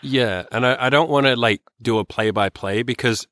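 A man's voice: medium pitch, normal speed, fairly bright tone, no echo, studio quality. A man with an Australian accent hosting a podcast, chatting casually with a loose, sweary, filler-heavy way of talking. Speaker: podcast host. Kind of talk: casual chat.

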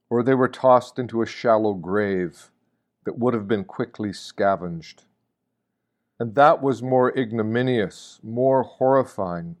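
Male reader for a Lenten meditation: grave, deliberate, unhurried delivery in a fairly low register. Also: darkish tone. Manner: solemn, deliberate, with rhetorical questions. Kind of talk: scripted devotional reading, sermon.

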